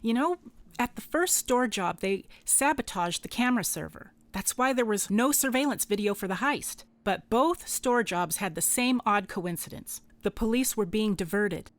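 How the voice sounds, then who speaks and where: A woman acting as a sharp, cool-headed insurance investigator in a contemporary radio drama, casual and dryly confident. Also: mid-range voice, animated, normal speed, natural voice. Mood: wry, thoughtful.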